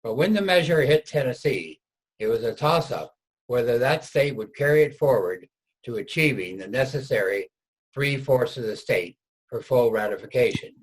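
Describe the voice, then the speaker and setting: A man narrates a scripted reader's theater piece. His voice is medium in pitch and somewhat husky. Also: lively intonation, moderate pace, poor audio.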